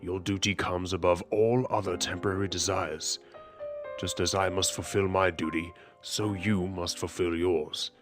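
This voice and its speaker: An actor playing a stern, dutiful middle-aged king and father in an audio drama, speaking in a formal, measured, commanding way. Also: sits low, steady tone. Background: music.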